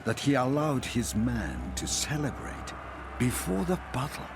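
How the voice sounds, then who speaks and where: A man's voice: mid-range voice, expressive, measured pace, creaky, noisy background. A man playing a solemn, resolute samurai chronicler, giving dramatic scripted historical narration for a game cutscene over music. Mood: solemn storytelling. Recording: music behind the voice. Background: cinematic music.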